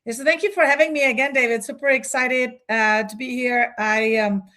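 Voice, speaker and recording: A woman's voice: on the high side, fairly even intonation, brisk, pushed and bright. A woman in her forties, from the Czech Republic, answering as a guest CEO in a business interview, thoughtful, with frequent fillers and restarts.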